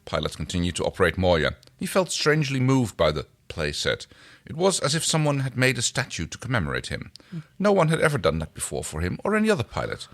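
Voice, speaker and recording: A man's voice: mid-range voice, huge pitch swings, fast, a bit bright. A man co-hosting a fan fiction reading, narrating informally with casual chat. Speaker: podcast co-host reading fan fiction aloud.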